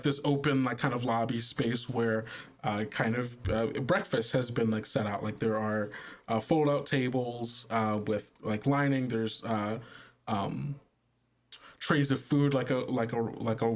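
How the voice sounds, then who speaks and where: A man's voice: medium pitch, steady tone, unhurried. A man acting as game master, improvising narration in a conversational way full of filler words.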